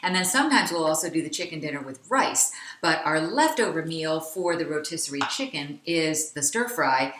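A woman's voice: deep voice, expressive, moderate pace, few pauses, noisy background. A middle-aged woman co-hosting a casual cooking video in her home kitchen, chatty and laughing often as she explains each step. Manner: chatty, laughs often, gestures while talking. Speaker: cooking show co-host. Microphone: camera mic across the kitchen. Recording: echoey kitchen.

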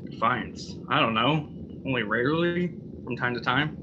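A man's voice: medium pitch, normal speed, natural voice. A man acting an offhand, technical-minded robot psychologist in a radio drama. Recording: dull, noisy live drama recording.

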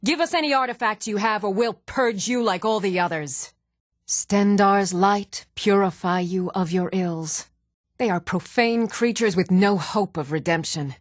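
An actress playing a steady, firm young woman in a fantasy video game, speaking with measured, earnest delivery. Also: mid-range voice, no room sound, studio quality, lightly acted. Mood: solemn, stern. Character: even-toned young adult woman of a fantasy world, steady and stern.

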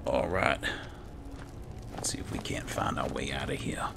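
A man giving casual, low-key roleplay commentary as he hosts a video game playthrough, musing as he goes. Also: fairly even intonation, normal speed, whispered. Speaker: gaming host. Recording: clearly noisy, game ambience behind.

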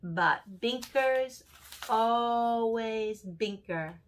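A woman's voice: fairly high pitch, drawn-out words. A woman in her sixties voicing a fond, earnest little boy in a storybook poem, in a singsong, confiding way.

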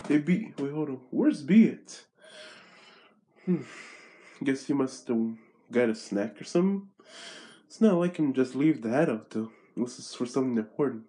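A man's voice: medium pitch, lively intonation, moderate pace, pauses often, warm tone, a little hiss or hum, natural voice. A young man playing a brash street thief. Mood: alarmed. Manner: talks to himself in a restless ramble. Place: outdoors in a back alley.

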